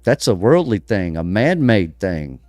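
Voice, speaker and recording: An American man hosting a religious podcast, delivering emphatic commentary full of rhetorical questions, pauses and restarts. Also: lowish voice, sing-song, a little mellow, no echo, studio quality, faint music behind. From United States. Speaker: podcast host. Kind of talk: preaching-style religious commentary.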